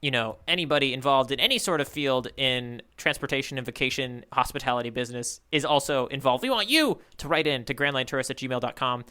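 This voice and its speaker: Male podcast co-host: bright, crisp delivery, casual and comedic, playfully mock-grand. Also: medium pitch, quick pace, hardly any pauses.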